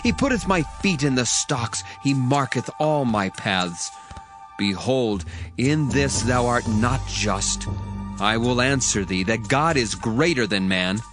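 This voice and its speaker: An earnest, indignant young biblical orator. His delivery is emphatic and formal, with animated swings in pitch, and music sits behind him in a dramatized scripture reading. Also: takes it slowly. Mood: stern reproof.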